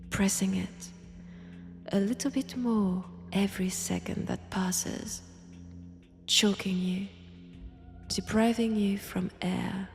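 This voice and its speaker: A woman with a French accent playing a menacing, seductive female vampire in an intimate roleplay audio drama, softly taunting and often whispering. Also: medium pitch, vocal fry.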